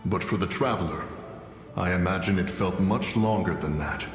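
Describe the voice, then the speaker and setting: A man playing a calm, grave immortal in a game, speaking in a measured, thoughtful way. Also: low-pitched, faint music behind, lightly acted. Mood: reflective. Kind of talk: scripted game voice acting. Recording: echoey, long reverb.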